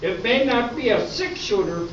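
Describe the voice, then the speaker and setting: Casting: man as raring-to-go cowboy contestant, extremely high pitch, folksy and loud; stage comedy with clear background noise. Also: animated, normal speed. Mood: gung-ho, confident. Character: gung-ho cowboy quiz champion, male. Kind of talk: amateur stage radio-play comedy acting. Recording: roomy, distant, noisy dull stage recording.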